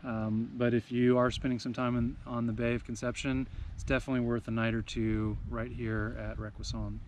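A man in his forties, seated outdoors beside a truck camper, talks into a tripod camera in an easygoing travel-vlog tone. His voice sits at a middle pitch for a man. Clear outdoor noise runs underneath the whole recording. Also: a little fast. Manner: relaxed, conversational, enthusiastic about scenery. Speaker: travel vlogger. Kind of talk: casual travel vlog chat.

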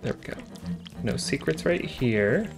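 A man's voice: medium pitch, animated, unhurried, creaky. A man chatting casually and playfully while playing a co-op video game, often exclaiming. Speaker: let's player. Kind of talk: casual co-op gameplay chat. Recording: game music behind the voice. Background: video game music.

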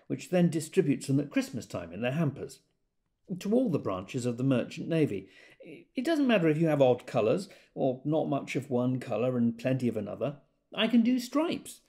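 A man's voice: mid-range voice, gravelly, dark tone. An actor playing a polite, digressive Quaker man reading out notices in an understated way, in an audio drama monologue. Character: gentle, rambling Quaker reading notices, a modest knitter.

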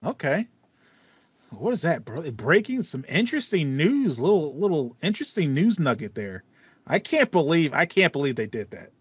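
A man's voice: a little high, very animated, normal speed, breathy edge, no echo, lo-fi sound. A man in his thirties co-hosting a casual gaming podcast, often repeating words as he thinks aloud. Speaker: podcast co-host. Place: small room with acoustic foam.

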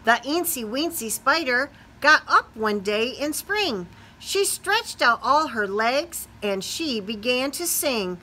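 A middle-aged woman teacher reading a children's storybook aloud in a sing-song, expressive way, putting questions to young listeners. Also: high-pitched, takes it slowly, few pauses, poor audio. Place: small classroom or office, indoors.

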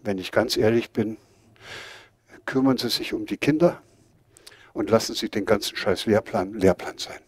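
A man's voice: on the low side, moderate pace, pauses often, slightly rough. An older man speaking bluntly and emphatically as he answers audience questions at an outdoor lecture. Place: outdoors in a garden before a seated audience.

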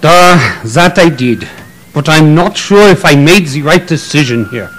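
Man acting stern tsar, animated and highish. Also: measured pace. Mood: displeased. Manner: curt, imperious, questioning. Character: stern male tsar, a militant would-be world conqueror. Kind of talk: audio drama acting.

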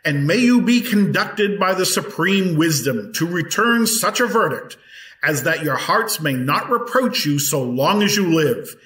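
A man's voice: on the high side, a bit bright. Man playing a solemn colonial judge in an echoey room. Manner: grave, measured, formal. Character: solemn eighteenth-century colonial judge instructing a jury. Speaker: actor in a historical trial reenactment. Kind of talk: formal courtroom oratory, reenactment. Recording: echoey room, slightly distant mic.

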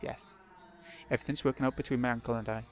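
A man's voice: mid-range voice, fairly even intonation, fast talker, slight background noise, lightly acted. Brief: a man reading a subdued, scared young girl. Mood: timid. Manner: quiet, submissive.

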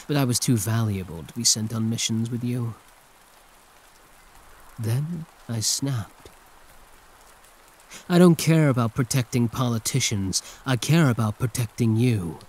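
A man's voice: medium pitch, darkish tone. A man playing a condescending yet protective hero turned villain in an intimate, theatrical ASMR roleplay monologue. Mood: intense, protective.

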